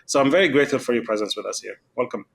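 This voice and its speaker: A man hosting a podcast interview who talks in a halting way, with many fillers. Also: medium pitch, lively intonation, vocal fry, husky. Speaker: podcast host.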